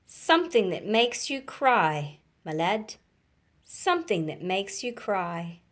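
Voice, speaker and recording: A woman's voice: medium pitch, big pitch swings, slow pace. A woman voicing kindly elderly neighbours in a children's storybook, tender and reflective. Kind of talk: children's storybook character voices.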